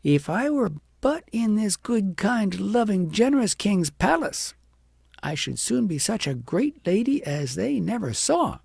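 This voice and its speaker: Man voicing a haughty young shepherd girl, swooping pitch. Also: big pitch swings, unhurried, creaky, darkish tone, husky, no room sound, studio quality, full character voice. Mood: wistful, boastful. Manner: haughty and dramatic. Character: vain, scheming, self-pitying young shepherd girl. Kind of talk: acted audiobook storytelling.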